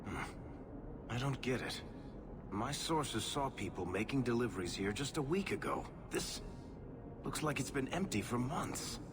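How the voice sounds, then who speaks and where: A man playing a wry, streetwise dwarf adventurer in a video game, with a sardonic, expressive delivery. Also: mid-range voice, a little slow, background noise, natural voice. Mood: serious, concerned. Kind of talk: video game dramatic acting.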